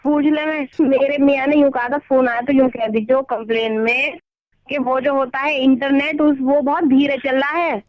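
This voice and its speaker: A woman's voice: high-pitched, fairly even intonation, normal speed, no echo. A woman on the telephone making a casual complaint in an earnest, plain-spoken, colloquial way over a dull line. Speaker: customer on a phone call.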